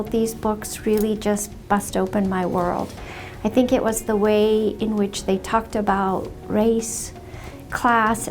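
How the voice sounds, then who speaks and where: A woman who grew up in Zimbabwe, a state refugee services director, speaking reflectively with frequent ums and pauses. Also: medium pitch, darkish tone. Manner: thoughtful, unhurried, with frequent ums. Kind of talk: reflective personal testimonial.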